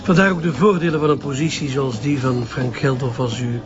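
An actor playing a smooth-talking, evasive activist journalist with a Flemish accent, articulate and slightly condescending, in a radio drama. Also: male voice, mid-range voice, steady tone, brisk, close to an everyday voice. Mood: smug, earnest. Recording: slightly dull lo-fi audio, music behind.

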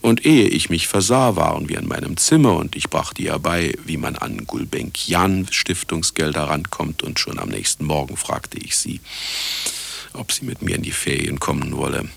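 A man's voice: extremely low pitch, normal speed, vocal fry, fairly bright tone, breathy edge, heavily acted. An actor playing a cynical, vain older retired scientist who narrates his own story in a satirical radio play, sardonic and self-important. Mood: smug, wry. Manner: sardonic, self-important, talking to listener and sound engineer. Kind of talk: satirical radio drama monologue.

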